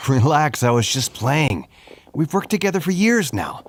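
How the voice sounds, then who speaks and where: A man's voice: a little high, big pitch swings, normal speed, slight background noise. An actor playing a jokey, ambitious male city guard in a video game. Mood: eager.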